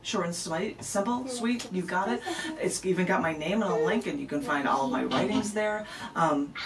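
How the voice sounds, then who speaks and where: A woman's voice: on the low side, normal speed, recorded from a distance, a crowd in the background. A woman, telling stories like a live spoken-word poet in an emphatic, conversational way. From American Midwest. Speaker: poet, interview guest. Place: radio station studio. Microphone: camera microphone at some distance.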